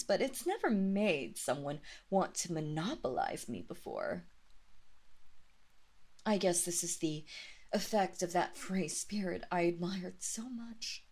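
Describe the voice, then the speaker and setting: A woman playing a seductive, commanding vampire mistress in an intimate roleplay audio drama, speaking softly and deliberately with a hypnotic, teasing condescension and breathy half-whispers. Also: on the low side.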